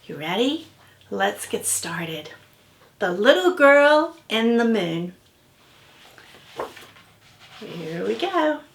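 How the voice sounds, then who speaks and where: A woman's voice: mid-range voice, sing-song, very slow, gravelly, pauses often, echoey room. A woman author giving an interactive story-time reading for young children, playfully addressing listeners directly. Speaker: children's author reading her book aloud.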